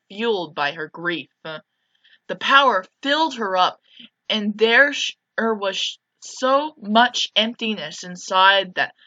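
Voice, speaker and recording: A woman's voice: medium pitch, bright, forceful tone. A woman narrating a visual novel aloud, reading dramatically and breaking off into casual side comments. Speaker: video game streamer and narrator. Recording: dull lo-fi mic with music behind.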